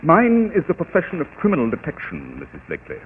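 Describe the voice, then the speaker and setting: An actor playing a brilliant, pompous detective with precise, dramatic diction in a 1940s radio mystery. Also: male voice, a little high, huge pitch swings, fast talker. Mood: assured, formal. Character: brilliant, self-assured, theatrical male private detective. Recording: tinny, noisy old 1940s radio broadcast.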